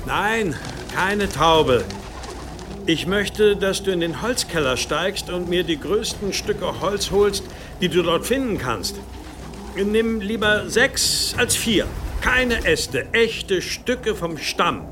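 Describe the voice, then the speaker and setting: An authoritative, pious father abbot in an audio drama. He is deliberate and insistent, with animated intonation and a slightly high pitch. Clear ambient noise sits behind him. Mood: instructing. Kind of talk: German audio drama acting.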